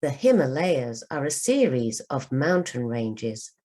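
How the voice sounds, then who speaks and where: Slow, low-voiced woman reading a storybook. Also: big pitch swings, dark tone. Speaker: teacher reading a storybook aloud. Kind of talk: scripted storybook narration for learners.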